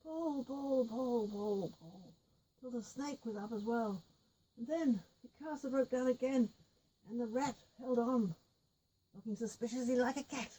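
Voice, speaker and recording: A woman's voice: a little high, mellow, husky, rough recording. A woman telling a folk tale informally, with repetition and little spoken asides. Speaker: storyteller with puppet figures.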